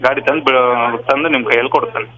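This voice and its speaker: A man's voice: medium pitch, steady tone, quick pace, a crowd in the background, rough recording. A man answering a loan question on the phone in a blunt, impatient manner. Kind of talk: phone conversation.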